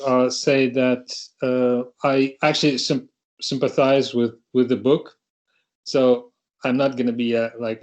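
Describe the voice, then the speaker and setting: Middle-aged man from Russia, panel guest; slow, stop-start delivery, dull lo-fi call audio. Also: mid-range voice, a little mellow. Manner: hesitant, halting, many restarts. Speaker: panel speaker. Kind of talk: online panel talk. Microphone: video call.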